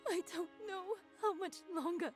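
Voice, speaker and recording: An actress playing a burdened, stubborn young heroine in a fantasy game, halting and emotional. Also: female voice, very high-pitched, faint music behind. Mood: weary, anguished. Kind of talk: cinematic fantasy game acting.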